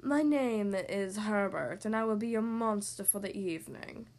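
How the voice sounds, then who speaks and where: Woman putting on a low, breathy, meek monster. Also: a little mellow, lightly acted. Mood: polite. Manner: slow, polite, apologetic. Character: meek, bookish, polite male substitute monster.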